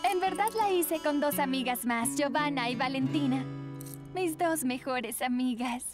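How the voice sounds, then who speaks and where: A woman voicing a sweet, earnest teenage girl in a cartoon dub, expressive. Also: high-pitched, a little slow. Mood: earnest, regretful. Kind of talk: animated cartoon dub acting. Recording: music behind.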